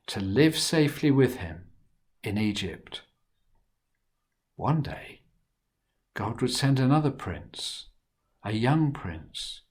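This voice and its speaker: Slow, animated male storyteller with husky voice. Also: mid-range voice. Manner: dramatic, with hushed and emphatic moments. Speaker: children's storyteller. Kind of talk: expressive children's Bible story reading.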